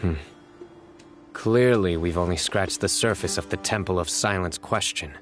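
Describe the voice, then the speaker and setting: A grave, reserved young warrior from a fantasy game, played by a man who speaks in short, clipped lines. His voice sits low. A clear game score runs behind him. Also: moderate pace, faint music behind, close to an everyday voice. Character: stern young male desert warrior investigator.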